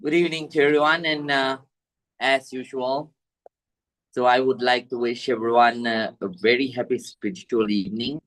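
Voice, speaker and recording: A man with a Sri Lankan accent, a Buddhist monk teaching meditation to an online group in a halting, deliberate way. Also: medium pitch, steady tone, fairly bright tone, rough recording. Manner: halting, deliberate, repetitive phrasing. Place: village temple in Sri Lanka, over video call.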